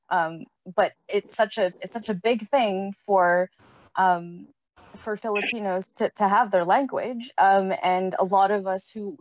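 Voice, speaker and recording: A woman's voice: fairly low pitch, no room sound. A woman from Canada speaking on a casual panel discussion, hesitant, with many ums and restarts. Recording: dull lo-fi sound.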